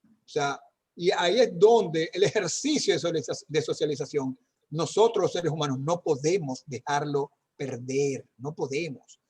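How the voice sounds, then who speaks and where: A man's voice: fairly high pitch, moderate pace, a little mellow, slightly rough. A man, a doctor, answering parents' questions in an online talk, speaking conversationally with many fillers and tag questions. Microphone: video call.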